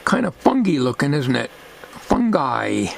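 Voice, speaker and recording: An older man talking casually as he works at a bench in a home workshop, plain-spoken and musing, with halting delivery. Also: medium pitch, big pitch swings, gravelly. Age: 60s or older. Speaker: hobbyist radio restorer. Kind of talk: casual talking while working. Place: cluttered home electronics workshop, indoors. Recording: noisy home workshop recording.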